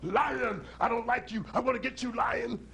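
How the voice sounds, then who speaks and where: Man doing an angry, high-voiced storybook elephant. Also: moderate pace, vocal fry, a bit bright, exaggerated voice. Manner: blunt, threatening. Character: angry big male elephant with an attitude.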